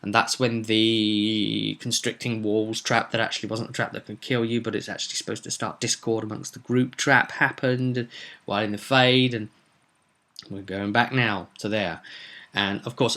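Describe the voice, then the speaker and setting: Forceful low-voiced man telling a dramatic story. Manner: theatrical, emphatic, with deliberate pauses. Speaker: game master recapping a tabletop campaign.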